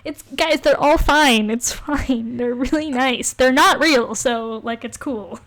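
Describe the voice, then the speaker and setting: A young woman with a voice on the high side co-hosts a casual podcast. She banters playfully, with a wry, giggly way of talking. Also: slow pace, fairly bright tone. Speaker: podcast co-host. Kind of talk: casual banter, reading quizzes aloud.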